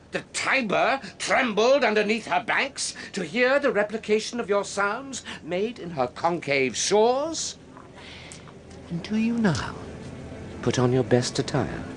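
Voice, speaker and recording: A man's voice: high-pitched, fairly bright tone, a little hiss or hum, exaggerated voice. A man playing a stern, haughty Roman official in a Shakespeare radio drama, scolding in an oratorical way. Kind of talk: radio Shakespeare acting, declamatory.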